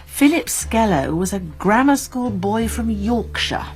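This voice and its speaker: An actress playing a sensible, caring college nurse in a British radio drama, speaking in a measured, articulate, earnest way with a British accent. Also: female voice, medium pitch, lively intonation, natural voice. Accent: British English. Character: college nurse, sensible, principled, caring woman amateur sleuth.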